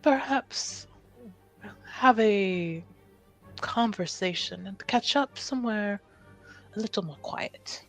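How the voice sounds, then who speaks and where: A woman playing a reluctant, politely flirtatious noblewoman in a tabletop game, speaking formally, haltingly and coyly. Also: mid-range voice, soft background music, poor audio. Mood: coy invitation.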